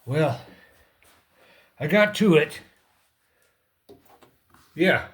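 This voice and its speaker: Slow-paced man giving repair tips. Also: a little high, animated. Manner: halting, short phrases, thinking aloud. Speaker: repair tutorial host. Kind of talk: casual hands-on how-to demonstration.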